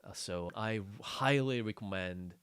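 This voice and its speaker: Casting: male podcast co-host, thirties, lowish voice, halting and repetitive phrasing, close dry sound. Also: a bit bright, clear, resonant tone, no room sound. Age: 30s. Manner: halting, repeats words. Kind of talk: casual podcast chat.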